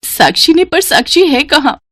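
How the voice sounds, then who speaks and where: A woman plays a tender, caring sister in a storybook family drama. Her voice is high and dry, earnest and full of feeling. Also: big pitch swings, moderate pace, a bit bright, dry recording. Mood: concerned.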